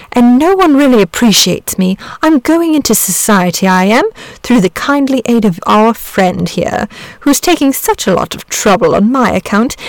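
Woman voicing a genteel, fussy gentleman dragon, swooping high. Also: no room sound. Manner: chatty, fussy, old-fashioned politeness. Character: big blue scaly dragon, genteel, lazy, vain gentleman. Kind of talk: storybook character voice.

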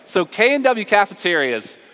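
Animated American preacher man in a reverberant hall. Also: high voice, moderate pace. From southern United States. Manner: animated, emphatic, repeats phrases for effect. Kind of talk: conversational, humorous storytelling sermon. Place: large reverberant room, live before a congregation.

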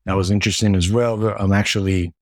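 A man with a very deep voice, Hungarian his first language, answering interview questions in a steady, methodical way. He strings his points into long lists and often repeats words as he goes. The sound is close and dry. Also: a little fast, no room sound. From United States. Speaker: guest, retired Air Force medic.

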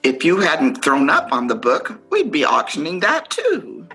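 A woman acts a sibling running the family auction in a radio play. The voice is crisp-edged and bright, unhurried and expressive, with matter-of-fact, playful auction calls. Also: sits low, soft background music, heavily acted, rough recording. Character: brisk sibling playing auctioneer at family estate sale.

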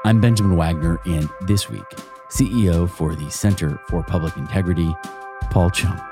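A man hosting a podcast, reading a scripted introduction in a measured way, then asking interview questions. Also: low-pitched, music in the background. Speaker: podcast host.

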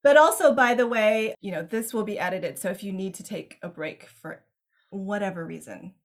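A woman's voice: medium pitch, normal speed. A woman hosting a podcast, chatting casually as she introduces and interviews an author.

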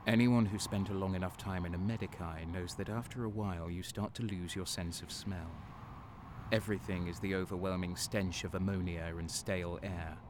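A man playing a jaded guardsman who narrates his war with dark relish in brooding, deliberate first-person storytelling. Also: sits low, steady tone, noisy background, natural voice. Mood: grim, wry.

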